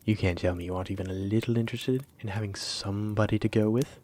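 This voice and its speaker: A man playing a husky, flirty dragon-blooded librarian. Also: on the low side, fairly even intonation, a little mellow, natural voice. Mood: teasing, curious. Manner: coy, teasing, drawn-out phrases with breathy asides. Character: flirty dragon-blooded male librarian, playfully teasing and persistent. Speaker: voice actor in a roleplay audio. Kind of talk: flirty second-person roleplay audio drama.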